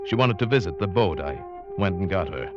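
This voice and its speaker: A man with a low voice that still moves a lot in pitch narrates a vintage radio drama in a measured, brooding way. The recording sounds dull and old, with clear music behind him. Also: very animated. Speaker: narrator and lead.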